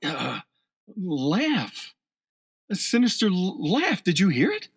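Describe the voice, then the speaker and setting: An actor in a radio play playing a weary, rattled security agent who stammers and gets agitated. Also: male voice, high voice, huge pitch swings, slow pace, vocal fry, husky, no room sound, clean studio sound, full character voice. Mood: agitated, confused. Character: weary, rattled male security agent losing control of himself.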